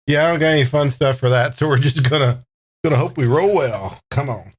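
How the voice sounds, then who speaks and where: A man's voice: medium pitch, brisk, breathy edge. A man playing a tabletop game, improvising casually in a playful, folksy, joking manner.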